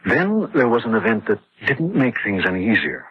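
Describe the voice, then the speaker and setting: An actor playing George, a husky-voiced engineer and husband who narrates and acts in a radio horror drama. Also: male voice, medium pitch, sing-song, moderate pace, very noisy, lightly acted. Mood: grim recounting. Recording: dull lo-fi radio drama recording.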